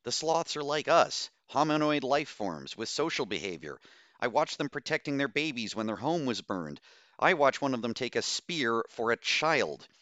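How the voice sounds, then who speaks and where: A man's voice: on the low side, very animated, normal speed, hardly any pauses, pushed and bright, no echo, lo-fi sound, pitched above the performer's own voice. A man voicing an agitated, stubborn woman doctor with a strident, complaining manner in an audiobook story. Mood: indignant, impassioned. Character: agitated, stubborn female doctor, hissing and whining.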